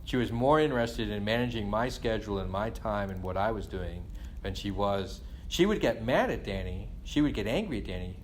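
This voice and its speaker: Middle aged guy venting casually, kinda low voice, rambles, noisy room recording. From born in England to Guyanese parents of Indian descent. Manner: rambling, repeats "you know" and "like".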